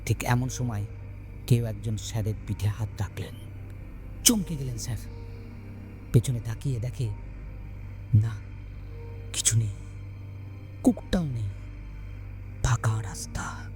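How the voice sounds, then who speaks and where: A man narrating a scripted horror story in a dramatic, suspenseful way with deliberate pauses. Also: mid-range voice, darkish tone. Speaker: storyteller, channel host. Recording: background music under voice. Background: music.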